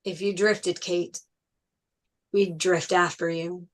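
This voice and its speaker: A jokey brother in a family drama read. He speaks slowly and naturally, with a teasing temper. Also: sits high, level tone, a bit bright. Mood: sincere.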